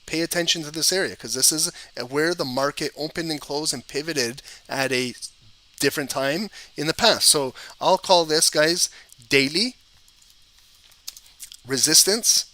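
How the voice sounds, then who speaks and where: A man with a cold and a hoarse voice, explaining trading charts to viewers in a casual, conversational way. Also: a little high, measured pace, bright, forceful tone, no echo, rough recording. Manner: conversational, addresses viewers directly. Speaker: trading educator. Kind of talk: live-streamed chart analysis.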